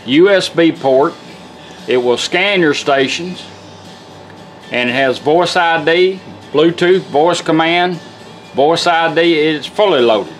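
Folksy man, fairly high-pitched voice. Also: slow pace. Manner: folksy, plain-spoken, hands-on. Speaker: car radio restorer and demonstrator. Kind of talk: casual product demonstration. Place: indoor workshop bench. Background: music from the demonstrated radio.

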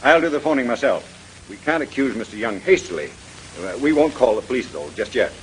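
An actor playing a courteous, cautious museum director in a 1930s radio drama. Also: male voice, medium pitch, expressive, brisk, lightly acted. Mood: measured, grave. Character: courteous, cautious museum director, middle-aged man of authority. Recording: noisy vintage radio transcription.

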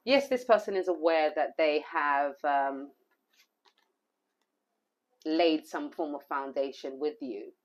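A hoarse woman giving an intuitive tarot reading, rambling and repeating phrases with plenty of fillers. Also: low voice, slow pace, pauses often. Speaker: tarot reader.

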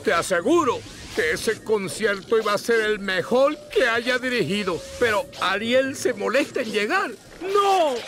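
A male actor playing a fretful, dramatic crab court conductor in an animated game, exasperated and theatrical. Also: sits high, a little slow, faint music behind, full character voice. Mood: distraught. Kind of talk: animated game character acting.

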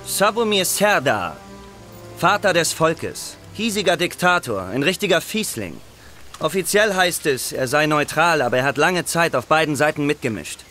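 A man's voice: on the high side, sing-song, normal speed, bright, forceful tone, soft background music. A man voicing a nervous, wordy, brainy soldier who worries, in a German-dubbed video game cutscene. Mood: worried, explanatory. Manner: hesitant, wordy, anxious.

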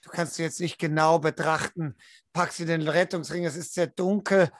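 A man narrating a tabletop roleplaying game as storyteller, in a deliberate, descriptive way. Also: a little high, fairly even intonation, no echo, clean studio sound. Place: indoor room at home, video call.

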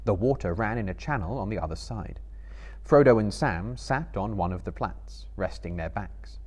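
A man's voice: fairly low pitch, lively intonation, moderate pace, darkish tone. A man in his thirties giving a dramatic literary reading as a storyteller to a live audience. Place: book-lined room before a small live audience.

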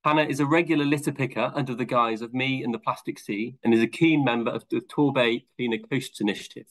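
A man hosting an online talk over a video call. He runs through the housekeeping in a friendly, slightly halting way before introducing the speaker. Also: medium pitch. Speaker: webinar host.

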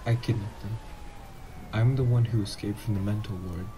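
A man playing an obsessed, remorseful escaped mental patient and scientist in a halting, husky ASMR horror roleplay. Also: lowish voice, fairly even intonation, background noise, natural voice. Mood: pleading, guilty. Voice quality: husky, breathy. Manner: halting, hesitant, confessional.

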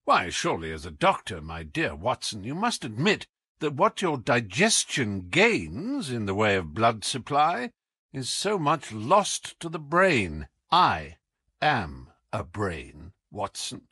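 A man's voice: medium pitch, wildly swooping pitch, slow pace, pushed and bright, breathy edge, dry recording, clean studio sound, heavily acted. A man reading an audiobook as a whimsical, imperious detective with a British accent, theatrical, commanding and wry. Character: brilliant, whimsical, imperious male English detective.

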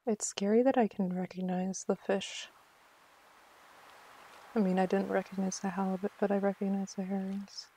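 Casting: female game streamer, mellow tone with vocal fry, chatty and mock-exasperated, thinking aloud. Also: mid-range voice, level tone, normal speed, pauses often, low-quality recording. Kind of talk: casual live game stream commentary.

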